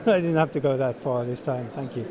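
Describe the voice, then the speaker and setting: A middle-aged man giving unscripted interview answers as a sales manager, speaking in halting phrases with frequent repeats. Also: medium pitch, low-quality recording. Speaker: sales manager being interviewed at a trade show. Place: busy exhibition hall. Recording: busy trade show crowd noise.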